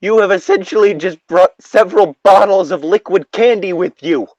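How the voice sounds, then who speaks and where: A man's voice: sits high, moderate pace, dry recording, lo-fi sound. A man hosting a casual story reading, breaking off into sweary, exasperated commentary. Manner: sweary, animated, exasperated asides. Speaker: host reading an internet story aloud.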